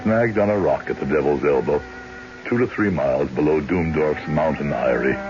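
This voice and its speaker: An actor playing a grave, genteel county clerk in a radio drama, speaking in a measured, formal, portentous way. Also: male voice, a bass voice, over music. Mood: solemn narrating. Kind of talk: old-time radio drama acting and narration. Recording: dull, hissy radio drama recording.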